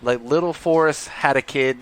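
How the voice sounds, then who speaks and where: A man hosting a podcast in casual comedic banter, laughing often and breaking into loud joking song. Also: medium pitch, moderate pace, a little hiss or hum. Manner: laughs a lot, bursts into loud joking singing. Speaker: podcast host.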